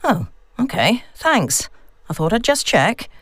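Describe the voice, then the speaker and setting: A woman's voice: a little high, big pitch swings, slow pace, slightly rough, dry recording, studio quality, voice pushed up, exaggerated voice. A woman narrator voicing an uneasy, bewildered young woman in an audiobook story, muttering to herself and swearing. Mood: hesitant, polite. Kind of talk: audiobook character dialogue.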